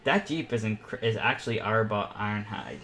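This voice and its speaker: Informal, excitable young male streamer. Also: lowish voice, a little fast, a little hiss or hum. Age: teens or young adult. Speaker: host of a live painting panel. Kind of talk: casual livestream art demonstration, chatting to viewers.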